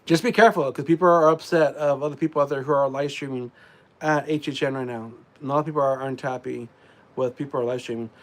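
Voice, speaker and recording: A congested man rambling casually on a live stream, full of fillers and frequent throat clearing. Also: mid-range voice, fairly even intonation, quick pace.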